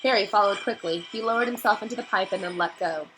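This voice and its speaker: A woman narrating a novel aloud in an expressive, dramatic storytelling style that builds suspense. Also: mid-range voice, fast talker, rough recording.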